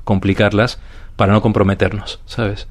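Radio guest: man with a Peruvian Spanish accent, lowish voice, reflective and halting, leans on "you know?". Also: little pitch movement. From Peru. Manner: thoughtful, hesitant, keeps asking "¿sabes?".

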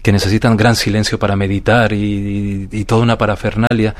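A man with a Peruvian accent, a guest speaking calmly and reflectively in an interview, often restarting and asking "you know?". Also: on the low side, fairly quick. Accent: Peruvian Spanish. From Peru. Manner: calm, thoughtful, many restarts and "¿sabes?". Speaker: guest, paranormal investigator.

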